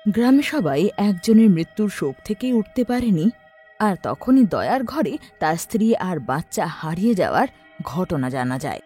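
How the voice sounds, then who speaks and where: A woman tells a horror tale as narrator, her lowish voice rising and falling dramatically. The tone is a little husky, with music behind. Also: big pitch swings, normal speed, mellow. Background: background music.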